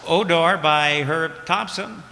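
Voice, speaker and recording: A man announcing closing credits for a radio play, informal and halting. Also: a little high, crowd noise. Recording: echoey hall, loud noise, audience sounds.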